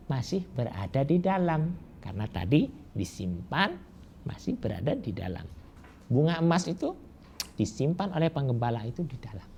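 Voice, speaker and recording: A man telling a folk tale to children, slow and drawn-out, with rhetorical questions. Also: mid-range voice, darkish tone. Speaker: storyteller. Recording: dull lo-fi recording with faint hiss.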